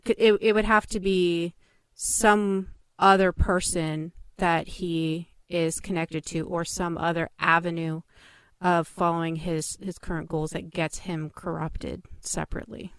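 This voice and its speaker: A woman's voice: on the low side, flat delivery, unhurried, clear, resonant tone, dry recording. A woman in a casual fan discussion, speaking as a thoughtful, analytical podcast panelist.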